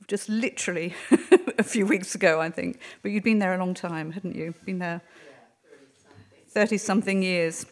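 A woman acting as moderator, giving an informal, chatty introduction of a guest speaker. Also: mid-range voice. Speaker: event moderator.

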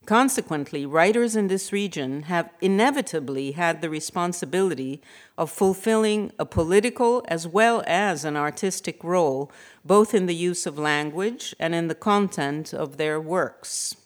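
A middle-aged woman, a writer and academic reading a prepared lecture in a measured, formal way. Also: lowish voice, animated. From Hungary. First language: Hungarian.

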